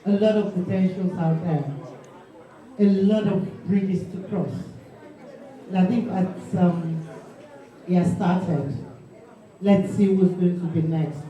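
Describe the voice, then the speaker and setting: A woman's voice: on the low side, fairly even intonation, slow pace, pauses often, dark tone. A woman with a Nigerian accent giving an emphatic, impromptu tribute speech. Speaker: guest speaker from the film industry. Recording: echoey hall, distant dull microphone.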